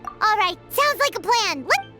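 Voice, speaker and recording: A woman voicing a tiny, childlike, outspoken fairy companion in a video game, with a chirpy, excitable manner. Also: measured pace, over music, heavily acted. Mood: puzzled, curious. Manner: squeaky, indignant, chirpy.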